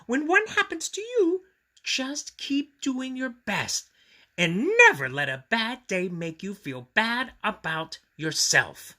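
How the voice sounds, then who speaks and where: A man voicing a gentle monster mother in a storybook, consoling and earnest, with tender, drawn-out reassurance. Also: very high voice, big pitch swings, voice pushed up, full character voice.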